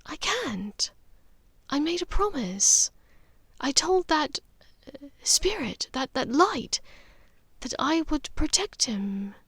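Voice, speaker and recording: A woman voicing a haunted, gently resolute witch mother in an audiobook, speaking earnestly and haltingly with care. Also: high voice, sing-song, dry recording, voice pushed up, full character voice. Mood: gently firm. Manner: halting, vehement, careful. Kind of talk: audiobook character dialogue.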